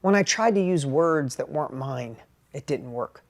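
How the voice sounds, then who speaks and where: A middle-aged man with a New York accent and a high-pitched voice, giving an animated, plainspoken motivational talk about sales. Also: normal speed. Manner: animated, plainspoken, repeats and restarts words. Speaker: entrepreneur and sales educator. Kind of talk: motivational talk with personal story.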